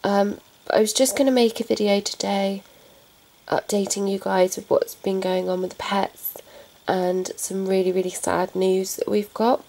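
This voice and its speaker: A young woman vlogging about her pets, talking softly and haltingly while getting over a cold. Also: medium pitch, level tone, low-quality recording. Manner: soft, halting, subdued. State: recovering from a cold. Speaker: pet vlogger. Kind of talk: casual talk to camera.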